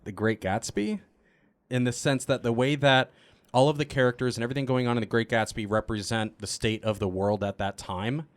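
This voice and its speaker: A man's voice: mid-range voice, a little fast, dry recording. A man speaking as a cast member in a casual Q&A, informal and joking, thinking aloud as he answers. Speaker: cast member of an improvised audio drama, answering questions. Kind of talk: casual Q&A chat.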